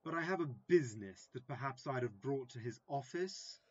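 A man playing a vain, gullible, self-important would-be gentleman in an early modern comedy audio drama. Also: medium pitch, lively intonation, normal speed, slightly rough. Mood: insistent. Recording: slightly dull, lo-fi remote recording.

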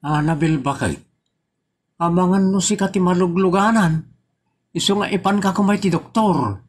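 Man acting a high-voiced, insistent Ilocano husband. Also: normal speed, husky. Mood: worried. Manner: questioning, insistent. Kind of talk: comedy drama acting.

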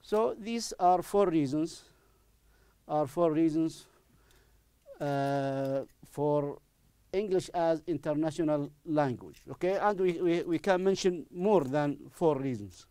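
A middle-aged man, a native Arabic speaker with an Arabic accent, presents a recorded English lesson. His voice is slightly high for a man and softly mellow, and he explains deliberately, restating key phrases. The sound is close and very dry. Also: clean tone, dry recording. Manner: deliberate, repeats phrases for emphasis. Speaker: English teacher. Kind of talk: recorded classroom-style lesson. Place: indoor studio in front of a presentation screen. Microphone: lapel microphone.

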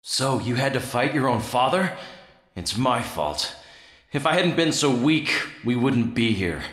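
A man's voice: mid-range voice, expressive, measured pace, fairly bright tone. A man voicing an earnest, heroic young vampire hunter in a video game, speaking with emphatic sincerity. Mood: remorseful, sympathetic. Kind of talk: dramatic video game voice acting. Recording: echoey, reverberant game audio.

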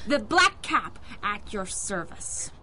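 A woman's voice: medium pitch, big pitch swings, slow pace, bright, forceful tone, exaggerated voice. A woman playing a plucky, self-important boy who leads young recruits in a 1930s-style radio drama, brash and clipped. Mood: cocky. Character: plucky, bossy street-kid boy leading young recruits.

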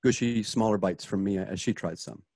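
A man's voice: lowish voice, moderate pace, darkish tone, no room sound, clean studio sound. A man casually narrating and directing a radio play, informal and conversational. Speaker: director reading stage directions.

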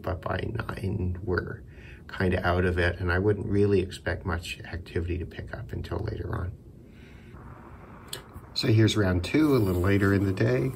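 A man's voice: low voice, creaky, a little hiss or hum. A man giving a casual, unscripted radio hobby demonstration, thinking aloud a little hesitantly. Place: indoors in a concrete block building.

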